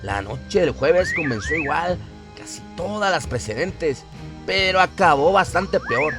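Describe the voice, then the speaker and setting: A man's voice: fairly high pitch, expressive, a little slow. A man narrating a scripted horror radio story in a dramatic, solemn and suspenseful manner. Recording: music bed behind the voice. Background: music.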